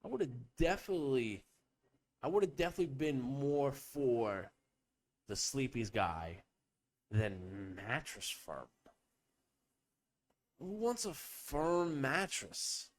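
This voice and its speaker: A man's voice: mid-range voice, big pitch swings, slow pace, long pauses. A young man hosting a comedic podcast, rambling and riffing with profanity and playful bits. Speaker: podcast host.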